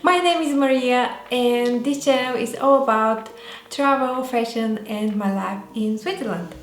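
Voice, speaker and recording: Slow, high-voiced Bulgarian woman vlogger. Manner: halting, pausing often mid-sentence. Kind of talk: casual talk to camera. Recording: roomy echo, faint music behind.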